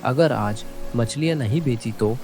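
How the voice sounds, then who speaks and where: A man voicing a humble, kind and worried poor fisherman in an earnest way for an animated moral story. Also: medium pitch, a little slow, faint music behind, close to an everyday voice.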